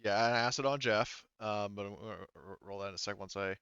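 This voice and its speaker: A man running a tabletop roleplaying game as game master, improvising scenes and rules in a halting, stop-and-start way. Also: on the low side, fairly bright tone, clean tone, highs cut off.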